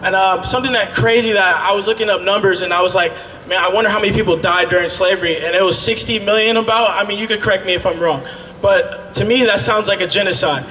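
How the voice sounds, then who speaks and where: A man's voice: high-pitched, quick pace, sharp tone, reverberant, big-room sound, slight background noise, poor audio. A man giving an earnest, conversational speech at an outdoor rally, addressing the crowd directly. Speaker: rally speaker. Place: outdoor rally at city hall.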